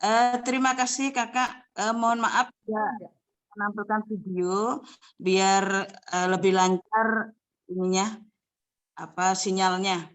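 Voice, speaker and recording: A woman scout leader asking a question over a video call, slow and searching for words. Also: mid-range voice, little pitch movement. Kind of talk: question from the audience.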